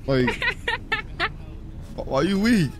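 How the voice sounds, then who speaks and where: A man's voice: high voice, huge pitch swings, slow pace. Slangy man bantering over car noise. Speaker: streamer playing a role-play game. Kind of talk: casual in-character banter.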